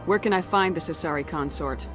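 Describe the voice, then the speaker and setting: Woman playing a quick, low-voiced determined commander. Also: music in the background, natural voice. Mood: concerned, inquiring. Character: determined, composed young female military commander. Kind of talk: video game dialogue.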